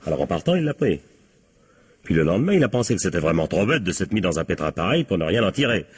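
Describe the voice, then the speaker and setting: An insistent, sardonic police captain in a radio crime drama. His low, husky voice swings widely in pitch. He talks colloquially and keeps prodding with short tag questions. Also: big pitch swings, fast, heavily acted. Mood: forceful, accusing.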